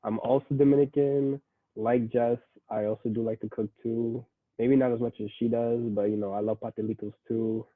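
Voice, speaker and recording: A laid-back young man from the Bronx speaks in a low, even monotone. His voice comes through a tinny, phone-like line with a dry sound. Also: moderate pace, dry recording. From Bronx, New York. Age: young adult. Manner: laid-back, flat delivery. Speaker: guest. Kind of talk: casual chat on a video call.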